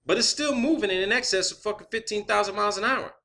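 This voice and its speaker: A man's voice: high-pitched, quick pace, pushed and bright. A man delivering a rambling, opinionated video monologue, swearing heavily, skeptical and insistent, repeating phrases for emphasis. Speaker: online video host.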